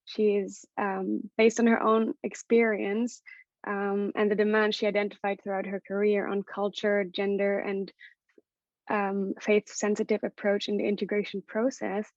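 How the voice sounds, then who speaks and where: A woman's voice: medium pitch, a little mellow, no room sound. Woman moderating a webinar on a dry call. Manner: polite, reads a speaker bio. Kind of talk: introducing speakers. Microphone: video call. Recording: dry muffled call audio.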